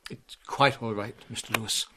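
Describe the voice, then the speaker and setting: An actor in a radio drama playing a pompous, officious hotel manager with a formal, clipped, condescending manner. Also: male voice, lowish voice, fairly even intonation, moderate pace, sharp tone, husky. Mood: stiff, dignified.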